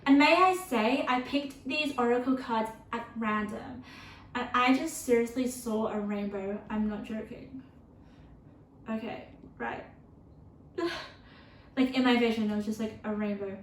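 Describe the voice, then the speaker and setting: A woman giving a casual tarot reading, chatty and rambling with lots of fillers, her voice turning a little croaky. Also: fairly high pitch, a little slow, pauses often, reverberant, distant mic, slight background noise, poor audio. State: voice getting croaky from thirst. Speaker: tarot reader.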